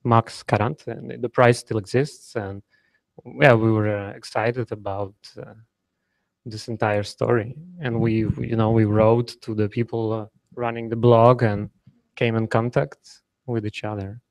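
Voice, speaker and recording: A young man from Ukraine, a doctoral student, telling a family story haltingly with many fillers and restarts. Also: on the low side, little pitch movement, gravelly, no echo, rough recording. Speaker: workshop participant. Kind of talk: hesitant personal storytelling.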